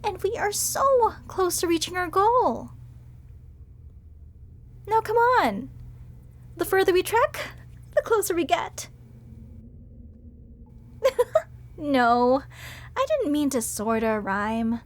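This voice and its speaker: Woman, high and animated, unhurried; sweet, soothing, bubbly reads for audio drama. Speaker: voice actor in audio roleplay. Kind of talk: acted audio roleplay.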